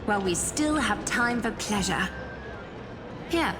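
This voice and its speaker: A woman voicing a gracious, gently teasing young woman in an anime-style game, with a leisurely lilt. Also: mid-range voice, expressive, slow. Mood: playful. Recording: music behind the voice. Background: game music.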